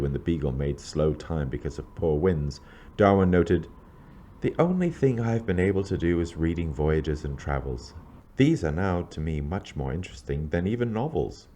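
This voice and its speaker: Male narrator for scholarly history script; very low pitch, expressive intonation, measured and formal. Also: slight background noise. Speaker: lecturer reading own introduction.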